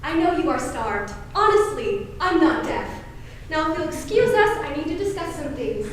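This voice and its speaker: Young woman acting fussy, very high-pitched genteel matron. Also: expressive, normal speed. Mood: fussy, distracted. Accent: American. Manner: sugary, mannered, coaxing, flustered exclamations. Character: fussy, genteel Southern matron aunt, cajoling and easily flustered. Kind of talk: high school stage play acting. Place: indoor school stage, filmed performance. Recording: echoey hall, distant camera, faint noise.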